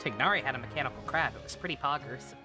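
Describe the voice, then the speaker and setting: A woman's voice: a bass voice, rapid-fire, music in the background. A woman livestreamer giving casual, irreverent, sweary commentary on a game. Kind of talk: casual live stream commentary.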